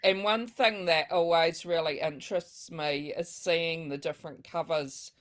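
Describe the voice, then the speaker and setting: A woman's voice: low voice, fairly even intonation, unhurried. A woman hosting a book club interview over a video call, reading out audience questions and giving short, encouraging replies. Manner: soft, encouraging, many short affirmations.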